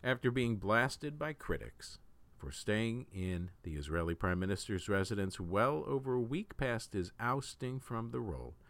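A man reading news articles aloud in a measured manner, occasionally stumbling over a word. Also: fairly low pitch, animated, a bit bright, no echo. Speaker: news reader.

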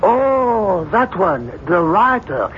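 An actor playing a fussy, easily flustered doctor in a 1970s radio drama, blustering and hesitant. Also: male voice, high voice, very animated, very slow, full character voice. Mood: irritated, flustered. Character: fussy, irritable French physician, easily flustered. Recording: muffled vintage radio recording, faint hiss.

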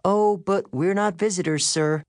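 A man's voice: sits high, measured pace, no room sound, studio quality, pitched above the performer's own voice. A man voicing a bold, self-assured teenage schoolgirl in an audiobook, breezy and confident.

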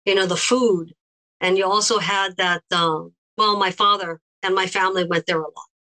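A woman guest with a slight foreign accent, recalling memories in a gentle, unhurried way with pauses. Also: medium pitch, steady tone. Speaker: interview guest. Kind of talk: recollecting in conversation.

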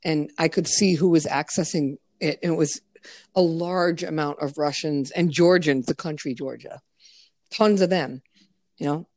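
A woman's voice: low-pitched, moderate pace, darkish tone, no room sound. A woman hosting a podcast, chatting bluntly with frequent swearing. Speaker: podcast host. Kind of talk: casual podcast chat.

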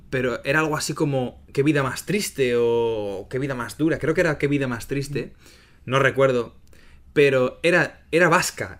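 A middle-aged man from Spain talks through a podcast conversation in an easygoing, explanatory way. His voice sits at an ordinary pitch, and he keeps checking in with his listener as he goes. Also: a bit bright. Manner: explains terms clearly, often asks "¿no?". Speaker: podcast host and Spanish teacher.

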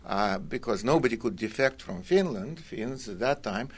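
A man's voice: medium pitch, lively intonation, normal speed, breathy edge. An older man from Russia with a Russian accent, telling his story in a hesitant, conversational way with wry, dry humour. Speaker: college academic guest. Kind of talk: conversational autobiographical storytelling in an interview.

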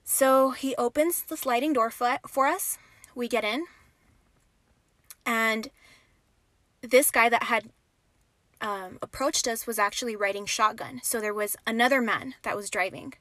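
A young adult woman hosting a podcast, telling a personal story in an animated, conversational way with dramatic pauses. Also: fairly high pitch, dry recording, clean studio sound. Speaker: podcast host. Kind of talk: storytime narration.

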